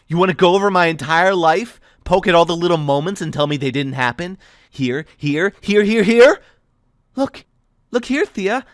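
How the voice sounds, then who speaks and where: A man's voice: on the high side, fairly bright tone, no echo, clean studio sound, voice pushed up, close to an everyday voice. A man voicing a bitter young woman who mocks and confronts with biting sarcasm.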